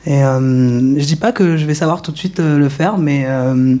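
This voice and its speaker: A woman's voice: deep voice, moderate pace, warm tone. A woman talking casually in a round-table discussion, searching for her words as she goes. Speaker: radio workshop participant.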